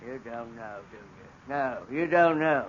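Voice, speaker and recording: A man's voice: medium pitch, a little slow, close to an everyday voice. An actor playing a confused, simple-minded male servant in a 1940s radio drama, pleading and lashing out bitterly. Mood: anguished, confused. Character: simple-minded, tormented male servant after an old injury. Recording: dull old radio broadcast recording with faint hiss.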